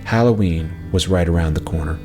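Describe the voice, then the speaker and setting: A man reading a scripted personal memoir in a measured, reflective storytelling way. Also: low-pitched, darkish tone. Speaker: narrator of own memoir, former radio station worker. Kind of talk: scripted narration. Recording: music playing behind the voice. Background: plucked acoustic string music.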